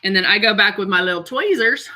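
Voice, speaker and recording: A woman's voice: mid-range voice, expressive, fast talker, bright, forceful tone. A woman of about fifty hosting a live makeup stream, chatting casually and exclaiming to viewers as she demonstrates and reads their comments. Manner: chatty and exclamatory, addressing viewers as girl and guys.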